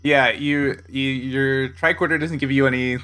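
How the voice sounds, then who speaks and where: A man improvising narration as game master of a casual tabletop role-playing game, pausing to find his words. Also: medium pitch, fairly even intonation, pushed and bright, clear, resonant tone. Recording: faint music underneath.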